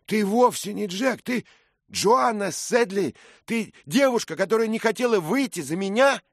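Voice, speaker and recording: A man's voice: sits high, expressive, normal speed, no room sound, studio quality, pitched above the performer's own voice. A man voicing an impassioned young squire. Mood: astonished. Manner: impassioned, emphatic. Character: brave young male squire, earnest.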